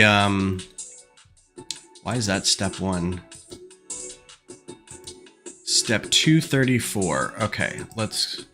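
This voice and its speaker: A man's voice: on the low side, pushed and bright. Stuffy-nosed man rambling on a stream. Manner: rambling, thinking aloud with many ums. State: stuffed-up nose. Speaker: LEGO designer streaming. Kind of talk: casual livestream chat. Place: home desk. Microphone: desk microphone.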